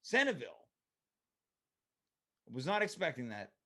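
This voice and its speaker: A man with a slightly rough voice hosting a call-in show, bantering in a playful, mock-earnest way. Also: a little high, huge pitch swings, moderate pace, pushed and bright. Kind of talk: comedic phone-in banter.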